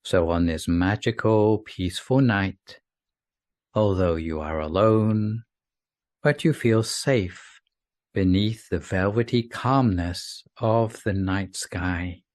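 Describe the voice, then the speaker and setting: A man with a fairly low voice guides a sleep meditation. He speaks very slowly and gently, leaving long pauses between soothing phrases. The sound is dry and close, with very little room. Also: no room sound.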